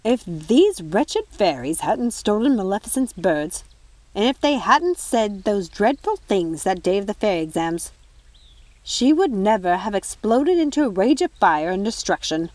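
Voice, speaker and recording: Woman as older fairy nanny, storybook, reproachful, protective, emphatic, medium pitch. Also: sing-song, a little slow, darkish tone, dry recording. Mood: bitter, regretful.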